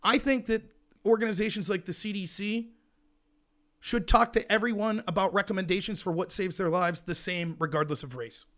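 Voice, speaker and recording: A man hosting a live political show, ranting in a loud, indignant voice. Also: high-pitched, normal speed. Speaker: livestream show host. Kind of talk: heated political commentary.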